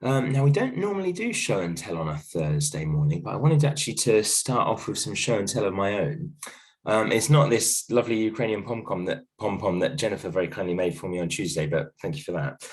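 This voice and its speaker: Croaky low-voiced man teaching. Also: expressive, a little fast. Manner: gentle, reassuring, many ums and restarts. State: croaky voice from a cold, coughing. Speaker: primary school teacher. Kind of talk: recorded video lesson for a class. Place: at home.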